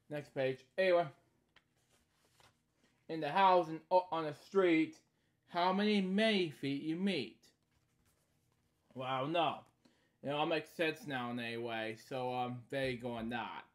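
A man in his thirties hosting a bedtime story series, reading a children's book aloud in short halting lines with casual, repetitive asides. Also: medium pitch. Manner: halting, repeating stock phrases between short read lines. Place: small indoor room at home.

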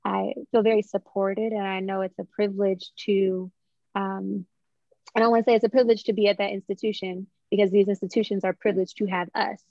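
A young woman talks reflectively as an interview guest, searching for her words. Her pitch is average, and the video-call sound is close and dry but a little dull. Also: level tone, a little fast, gravelly, dark tone, no room sound. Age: young adult. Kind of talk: conversational interview answers. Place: indoors in a room at home.

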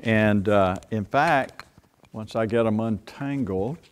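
Gentle older pastor talking to children. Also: male voice, fairly low pitch, a little hiss or hum. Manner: playful, speaks slowly and gently to children. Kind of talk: children's sermon. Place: church sanctuary, indoors. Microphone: far camera.

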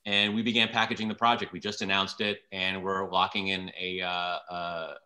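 A man in his fifties with a bright, forceful voice and a slightly low pitch. He explains his work on a video call from his living room, leaning on stories and examples. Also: level tone, moderate pace. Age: 50s. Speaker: TV producer guest.

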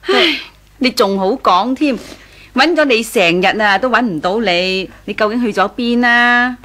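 Fussing older mother-in-law, highish voice. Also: unhurried, fairly bright tone. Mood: reasoning. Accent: Hong Kong Cantonese. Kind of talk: 1980s Cantonese radio drama acting.

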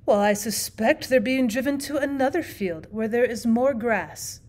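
A weary storybook aunt , as a woman narrator reads her. She speaks in a slightly high voice. She is fussy and flustered, weakly evasive and a little stiff. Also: normal speed, darkish tone, lightly acted. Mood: lame, placating.

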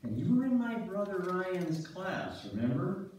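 A man's voice: high voice, very animated, measured pace, darkish tone. A man playing an affable, teasing, self-deprecating middle-aged husband in a staged play reading. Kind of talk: staged reader's theater acting. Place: church sanctuary stage, far camera. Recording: very echoey church hall from far off, faint noise.

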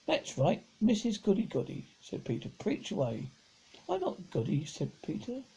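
A middle-aged man reads a children's book aloud in a rough, creaky voice. His pitch swings up and down in a lively way, and the tone is dark and mellow. He reads haltingly, tripping over words and starting them again. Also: rough recording. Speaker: amateur storybook reader. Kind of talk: reading a children's novel aloud. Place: indoors at home, seated on a sofa.

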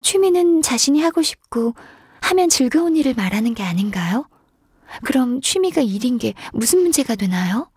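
A woman playing a gentle, shy, earnest half-qilin secretary in a video game, speaking softly and politely with some hesitation. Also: sits high, very animated, normal speed, no room sound, clean studio sound, exaggerated voice. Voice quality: soft, breathy. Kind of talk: video game character voice lines.

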